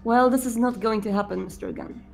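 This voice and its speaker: A woman voicing a solemn, courteous male vampire prince in a tabletop game, speaking formally and deliberately. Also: fairly high pitch, creaky, faint music behind. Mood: regretful, firm.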